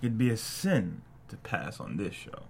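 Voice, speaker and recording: A man's voice: fairly low pitch, sing-song, measured pace, vocal fry, a little mellow, slight background noise, heavily acted. An actor playing a confident, swaggering blues singer in his late thirties at a screenplay table read. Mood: savoring.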